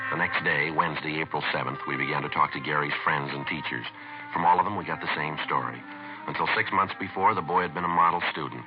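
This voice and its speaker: An actor playing a terse, matter-of-fact police detective in a 1950s radio crime drama, on a muffled vintage recording. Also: male voice, extremely low pitch. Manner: clipped, matter-of-fact, deadpan. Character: calm, terse male police detective narrating his case.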